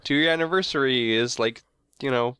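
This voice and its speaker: A man with a congested, nasal voice rambles and complains his way through a casual podcast chat. His tone is bright, and the recording is dry and close. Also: mid-range voice, normal speed, smooth, no echo. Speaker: podcast co-host. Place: hot home room.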